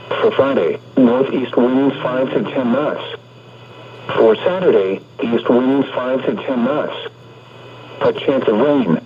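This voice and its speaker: A man's voice: medium pitch, a little hiss or hum. Muffled synthetic male weather radio announcer, American. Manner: impersonal, formulaic synthesized delivery. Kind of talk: scripted marine forecast readout.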